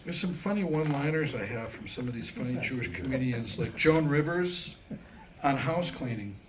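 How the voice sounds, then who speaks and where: A man's voice: medium pitch, animated, normal speed. A middle-aged man delivering a comedic monologue and telling stories before a live audience at a staged reading. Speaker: playwright performing a staged reading. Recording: live room recording with background noise.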